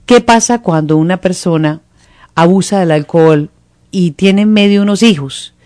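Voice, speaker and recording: A host with a mid-range voice talks casually and stops to spell out numbers slowly for the audience. The recording is dry and close-miked, with no reverb. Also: mid-range voice, a little mellow. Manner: chatty, dictates phone numbers slowly. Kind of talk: conversational interview hosting.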